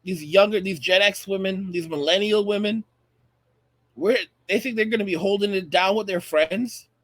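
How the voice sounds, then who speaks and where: A man's voice: high voice, moderate pace, fairly bright tone, no room sound. An American man in his late thirties hosting an opinionated online commentary, speaking emphatically and repeating phrases for effect, addressing his viewers directly. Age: late 30s. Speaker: online video channel host.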